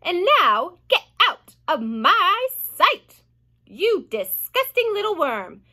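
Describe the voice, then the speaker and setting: Woman playing a cruel, boastful aunt; slow, loud, high and sharp. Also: lively intonation, exaggerated voice. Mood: gloating, spiteful. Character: vain, mean, boastful aunt, grown woman. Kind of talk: storybook reading.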